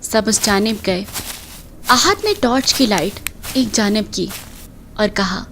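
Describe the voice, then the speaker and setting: A woman's voice: medium pitch, slow pace. A woman reading scripted narration for a horror audio drama. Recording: noisy track with loud ambience.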